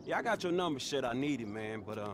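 A man's voice: mid-range voice, animated, fast, close to an everyday voice. An actor playing a streetwise, easygoing young American man, slangy and unimpressed, in a video game cutscene. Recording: noisy street ambience behind voice.

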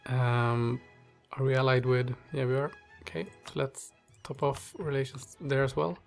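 A man's voice: medium pitch, flat delivery, measured pace, gravelly, faint music behind. A man with a stuffy nose and a slightly strained voice, hosting casual strategy game commentary, thinking aloud with many fillers. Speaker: gaming channel host.

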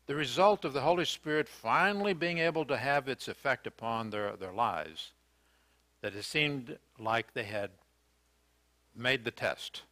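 A man's voice: mid-range voice, pushed and bright. An older American man preaching a sermon in a church, earnest and questioning, with hesitant fillers and restarts. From United States. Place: church sanctuary, indoors.

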